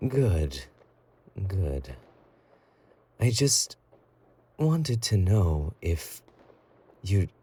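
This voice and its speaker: A very slow, mellow male voice acted by a man as a possessive yandere boyfriend in a romantic audio roleplay. He coos intimately and breathily, swinging widely in pitch between doting sweetness and quiet menace. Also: slightly rough, exaggerated voice. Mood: menacing, playful.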